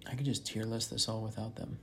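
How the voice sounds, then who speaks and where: Low, gravelly-voiced man rambling casually. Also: little pitch movement, fast, fairly bright tone, a little hiss or hum. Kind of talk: casual rambling chat, with some reading aloud from a book.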